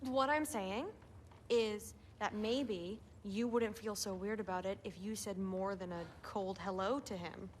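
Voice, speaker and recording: A woman's voice: medium pitch, measured pace, long reverb tail, a little hiss or hum, natural voice. A woman playing a headstrong teenage goddess daughter in a dramatic game cutscene, defiant, earnest and impatient. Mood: argumentative.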